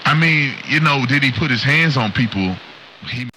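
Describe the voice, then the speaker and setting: A man's voice: mid-range voice, lively intonation, normal speed, sharp tone, rough recording. A man giving an emphatic, conversational religious talk through a radio speaker. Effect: played through a radio speaker. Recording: hissy radio playback.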